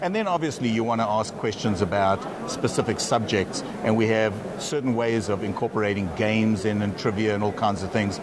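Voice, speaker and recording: A man's voice: fairly low pitch, a little fast, few pauses, crowd noise. A man talking as a company executive in a trade show interview, often stumbling and repeating words at the start of his phrases.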